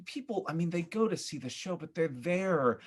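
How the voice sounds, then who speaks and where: A man with an American accent, from upstate New York, talking casually as a podcast guest in an animated, opinionated, emphatic storytelling way. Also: on the high side, moderate pace, husky. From upstate New York, United States.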